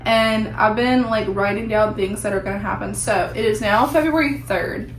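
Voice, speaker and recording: Vlogger woman with a hoarse, nearly lost voice, rambling casual chat, middle pitch. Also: moderate pace, echoey room, a little hiss or hum, low-quality recording. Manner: chatty, rambling, filler-heavy. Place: at home indoors.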